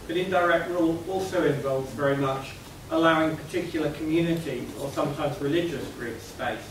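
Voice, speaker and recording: A man on an academic panel making a brisk point. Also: on the high side. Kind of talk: academic round-table discussion. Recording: echoey hall, distant mic, background noise.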